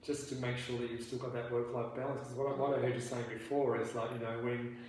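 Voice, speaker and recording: A man acting as a therapist in a counselling role play, asking questions gently and haltingly, full of restarts and "you know" fillers. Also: medium pitch, little pitch movement. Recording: very echoey room, faint background noise.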